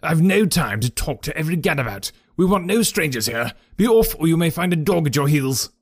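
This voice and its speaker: A man reading aloud, voicing a bullying elderly male horse trainer who blusters and shouts in a raised voice. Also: fairly high pitch, big pitch swings, a little fast, no room sound, studio quality, voice pushed up. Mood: angry.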